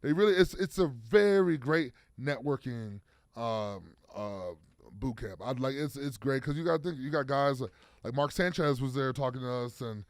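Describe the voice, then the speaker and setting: 30s guy on a podcast, rambles w lots of likes n you know, mid pitch, dead dry sound. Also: lively intonation, moderate pace, dry recording. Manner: rambling, many fillers and restarts. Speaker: football player guest. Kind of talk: casual interview chat.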